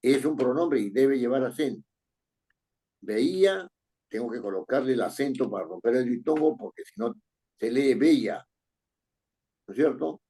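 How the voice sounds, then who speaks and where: A man's voice: mid-range voice, animated, dark tone, slightly rough. A man teaching a writing class, reading a student's paragraph aloud and correcting it point by point, often checking with "¿no es cierto?". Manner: reads text aloud slowly, then explains with rising questions like "¿no es cierto?".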